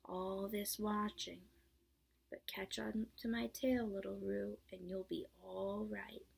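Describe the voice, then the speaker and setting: A woman voicing a gloomy old male donkey in a storybook reading, slow and grumbling, in a husky whisper. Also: medium pitch, little pitch movement, full character voice.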